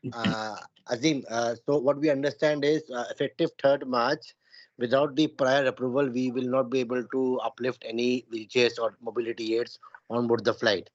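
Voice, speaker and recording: A man's voice: mid-range voice, fairly even intonation, normal speed, dry recording. Man, muffled meeting audio. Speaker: station staff member asking a question. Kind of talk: work meeting question.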